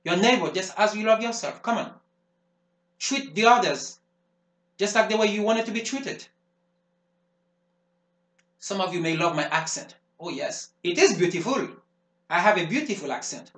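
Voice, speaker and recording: Fervent preacher. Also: male voice, high-pitched, fairly bright tone. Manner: emphatic, repetitive preaching cadence. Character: fervent male preacher warning of the devil. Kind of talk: sermon. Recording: roomy echo.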